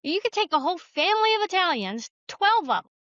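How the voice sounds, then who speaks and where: A woman's voice: very high-pitched, very animated, fast talker, fairly bright tone, dry recording. A woman interview guest telling stories conversationally in an emphatic, folksy, plain-spoken way, on a slightly muffled recording. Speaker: guest author. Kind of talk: conversational storytelling.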